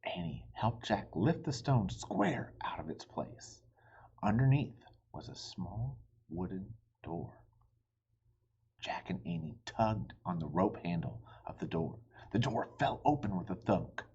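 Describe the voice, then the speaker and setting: A man's voice: on the low side, big pitch swings, takes it slowly, gravelly, darkish tone, breathy edge, muffled recording. A man reading a children's storybook aloud with dramatic, suspenseful expression. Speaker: storybook reader.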